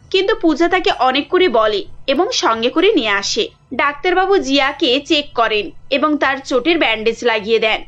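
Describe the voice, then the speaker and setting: A woman's voice: high voice, fairly bright tone, dull audio. A woman giving scripted narration for a cartoon story.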